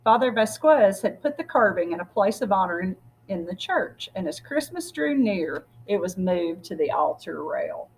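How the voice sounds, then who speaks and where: A woman with a slightly husky voice reads a picture book aloud, speaking directly to her listeners as a storyteller. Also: medium pitch, big pitch swings, normal speed, slight background noise, poor audio. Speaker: story reader.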